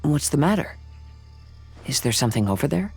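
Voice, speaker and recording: Composed, courteous young wanderer, expressive. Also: male voice, fairly high pitch, gravelly, slightly rough, soft background music, exaggerated voice. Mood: courteous, gentle. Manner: polite, composed, measured phrasing. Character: calm, courteous young male wanderer, thoughtful and observant. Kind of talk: video game character acting.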